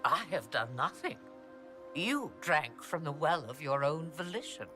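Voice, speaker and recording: A woman playing an ancient, knowing witch in a video game, deliberate and theatrical, with wry condescension. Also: deep voice, big pitch swings, pushed and bright, faint music behind, heavily acted. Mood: unruffled. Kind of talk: video game cutscene acting.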